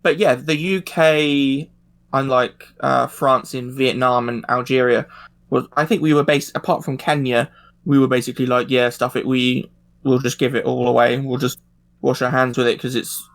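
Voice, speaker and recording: A man in a casual panel discussion, speaking informally and haltingly with pauses mid-phrase. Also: mid-range voice, steady tone.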